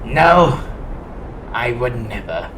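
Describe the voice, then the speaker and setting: A woman's voice: a bass voice, big pitch swings, extremely slow, noisy background, heavily acted. A woman voicing an imperious, insistent robot lieutenant in a comic dub, with an arch, theatrical manner. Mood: dryly teasing. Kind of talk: dramatic comic dub acting.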